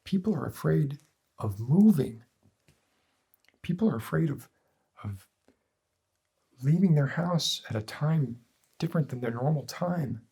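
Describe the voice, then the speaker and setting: Man playing a husky, morbid doctor. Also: lively intonation, warm tone, full character voice. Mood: insistent. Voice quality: husky, breathy, low. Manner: pedantic, deliberate, bleak. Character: morbid, cynical male doctor treating depression with despair. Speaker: doctor in an ASMR roleplay. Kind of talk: ASMR roleplay monologue, philosophical lecturing.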